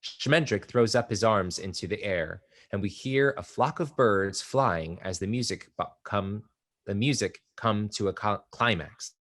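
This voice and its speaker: A man reading stage directions aloud in a play table read, measured and even. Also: lowish voice. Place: live reading at an art exhibition.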